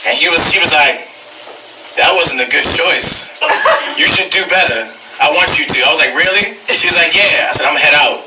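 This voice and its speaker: A man's voice: on the high side, a little fast, noisy background. A man giving an informal, candid tribute speech full of anecdotes, joking and emphatic repetition. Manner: candid, joking, frequent laughter and swearing. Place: indoor book release event. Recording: echoey room, distant mic, music behind.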